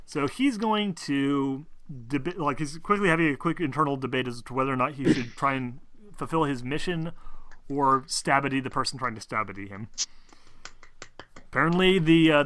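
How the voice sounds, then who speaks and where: A man narrating a tabletop game as game master in a casual, halting way, improvising and correcting himself as he goes. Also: mid-range voice, sharp tone, no echo.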